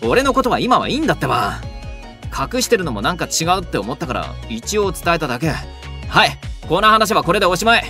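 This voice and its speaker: A man's voice: fairly high pitch, sing-song, a little fast, bright, forceful tone, soft background music. A man voicing a young delinquent who is defensive and easily flustered, in an audio drama. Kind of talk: Japanese boys' audio drama.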